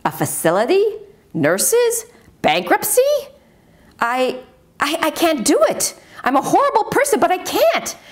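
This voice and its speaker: A woman reading the part of an anguished, overwhelmed American wife in a memoir, halting and distressed. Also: very high-pitched, wildly swooping pitch, voice pushed up, full character voice. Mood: anguished, panicked. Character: anguished wife overwhelmed by husband's illness, pleading.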